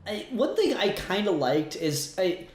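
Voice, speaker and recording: A man's voice: high voice, huge pitch swings, measured pace. A man casually discussing a review as a podcast co-host, rambling and thinking aloud as he goes. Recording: roomy echo, clear background noise.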